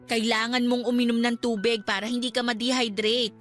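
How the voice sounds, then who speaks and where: A woman's voice: a little high, flat delivery, brisk, a bit bright, natural voice. An actress with a Filipino accent playing a worried but firm young girlfriend in a Tagalog radio drama, emotional and protective. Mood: concerned, scolding. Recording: dramatic music bed behind the voice.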